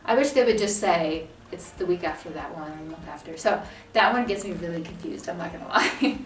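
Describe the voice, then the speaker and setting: A woman's voice: fairly low pitch, fairly quick. A young American woman co-hosting a casual chat, talkative, laughing often and filling her speech with "like". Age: young adult. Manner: chatty, laughs often, many "like" fillers. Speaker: co-host. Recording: echoey room, mic at a distance, music behind.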